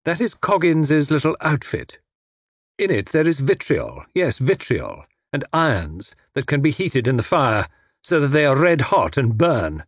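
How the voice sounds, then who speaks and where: A suave foreign villain from a detective storybook, acted out by a male reader, pitched higher and swinging widely. He is formal and mockingly polite, with menace that sharpens into a snarl. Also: huge pitch swings, measured pace, no echo, studio quality, full character voice. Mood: menacing. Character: suave, menacing well-educated foreign spy posing as inspector.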